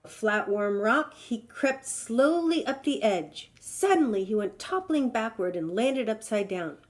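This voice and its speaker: A woman's voice: fairly high pitch, animated, unhurried. A woman storyteller reading a children's tale aloud in a dramatic, emphatic way.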